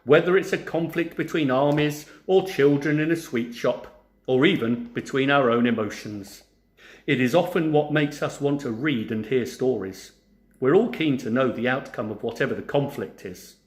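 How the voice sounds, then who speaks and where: A man's voice: mid-range voice, normal speed, lightly acted. Man playing a wise storybook giant, echoey. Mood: patient, explaining. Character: wise old male storytelling giant, admonishing. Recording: roomy, echoing sound.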